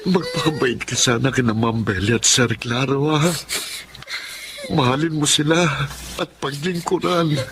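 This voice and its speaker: A man playing a remorseful, loving father in prison in a radio drama, halting and heartfelt. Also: medium pitch, close to an everyday voice. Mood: loving, sorrowful.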